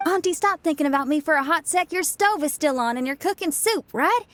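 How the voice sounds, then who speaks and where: A woman's voice: high-pitched, expressive, fairly quick, fairly bright tone, no echo. An actress voicing a bold young woman rock musician in a game, confident and cheeky. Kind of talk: game cutscene dialogue.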